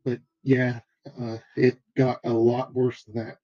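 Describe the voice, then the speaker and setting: A man telling a story in a flat, subdued way, his voice dark and mellow with very little rise and fall. The sound is muffled and lo-fi, as a replayed clip would be. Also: mid-range voice, measured pace. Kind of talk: recorded voice clip being played.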